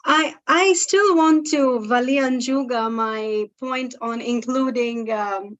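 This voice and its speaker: A woman's voice: fairly high pitch, slow. A woman from East Africa, a native Swahili speaker, explaining a point in an unscripted academic discussion and often interrupting herself. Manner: frequent "you know", explanatory, self-interrupting. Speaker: academic meeting participant. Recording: dull, lo-fi audio.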